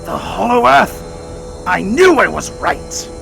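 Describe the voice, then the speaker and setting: A man playing a self-assured, excitable middle-aged doctor and scientist in an audio drama, marvelling and explaining theatrically. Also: sits high, slow, vocal fry, over music, exaggerated voice, low-quality recording. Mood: awed, excited. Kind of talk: full-cast audio drama acting.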